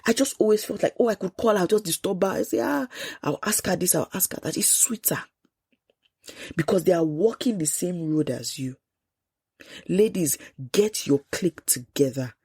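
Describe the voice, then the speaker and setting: A woman's voice: fairly low pitch, moderate pace, breathy edge, dry recording, clean studio sound. Congested Nigerian woman podcasting. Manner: animated, emphatic, quotes people in lively asides. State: congested, sore throat. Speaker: podcast host. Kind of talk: solo podcast monologue.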